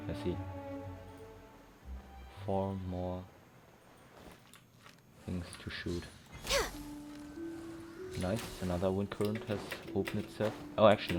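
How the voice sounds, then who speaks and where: Man narrating his own gameplay, low voice, thinks out loud, loud game music behind. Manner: rambling, thinking aloud. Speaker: gameplay commentator. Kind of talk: casual gameplay commentary.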